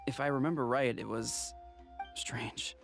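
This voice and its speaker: A man voicing a flustered, grumbling delivery worker, excitable and rambling, in a video game. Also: medium pitch, a little slow, vocal fry, soft background music. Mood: puzzled. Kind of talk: video game dialogue acting.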